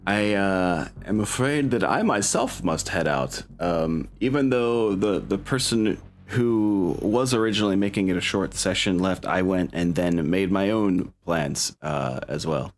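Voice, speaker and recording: A man's voice: sits low, expressive, unhurried, few pauses, slight background noise. A man hosting as a game master, chatting casually in an upbeat, informal way with players and viewers. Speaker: game master and stream host.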